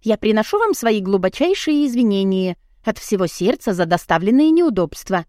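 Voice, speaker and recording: A woman's voice: medium pitch, big pitch swings, moderate pace, mellow, no room sound, close to an everyday voice. A flustered young woman played by a female narrator chatters and protests with indignant defiance. It is audiobook dialogue in a woman's mid-range voice, recorded dry. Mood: flustered, apologetic.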